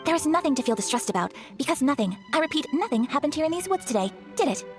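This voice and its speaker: An actress playing a gracious young noblewoman swordfighter in a video game, formal and precise, gentle but firm. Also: high-pitched, big pitch swings, quick pace. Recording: music behind the voice.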